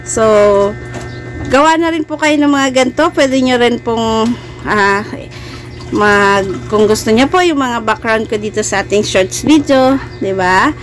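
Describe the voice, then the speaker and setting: A high-voiced Filipino woman talks viewers through a casual tutorial. She is chatty, friendly and polite, with music playing behind her. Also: measured pace, pauses often. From Philippines. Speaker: host of a video editing tutorial channel. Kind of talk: casual screen-recorded tutorial for beginners.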